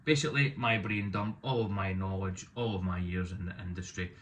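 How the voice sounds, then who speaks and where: Thirties male vlogger, fairly low voice, outspoken and self-deprecating, slight room noise. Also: steady tone, brisk. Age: 30s. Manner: loud, opinionated, self-deprecating. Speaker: business owner vlogging. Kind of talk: casual talking-to-camera vlog.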